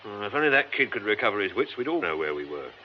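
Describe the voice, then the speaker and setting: Gruff British inspector, fairly low voice, lively intonation, radio drama, muffled sound. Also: male voice, fast talker, slight background noise. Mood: grumbling, pondering. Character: gruff, plain-spoken police inspector sidekick.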